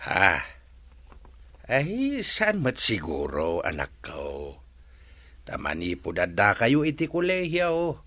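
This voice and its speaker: A man playing a caring, inquisitive middle-aged father in an audio drama, speaking slowly and emphatically. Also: on the low side, wildly swooping pitch, a bit bright, dry recording, exaggerated voice. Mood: surprised, probing. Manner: slow, emphatic.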